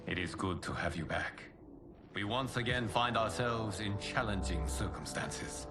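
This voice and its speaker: Wise solemn guardian, lowish voice, formal, measured game cutscene delivery with score behind. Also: male voice, over loud music. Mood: calm, welcoming. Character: calm, solemn, wise male protector of realms. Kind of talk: dramatic video game cutscene acting.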